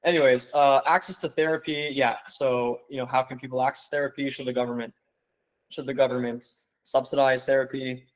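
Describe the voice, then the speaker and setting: A man's voice: medium pitch, level tone, brisk, low-quality recording. A young man giving a casual online seminar, rambling with lots of "like" and "you know" and calling his viewers "guys.". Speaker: webinar presenter.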